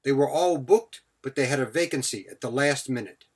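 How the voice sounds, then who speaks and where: A man's voice: mid-range voice, a little fast, breathy edge. A man giving a scripted English vocabulary lesson as a teacher, speaking in short, clipped phrases followed by example sentences.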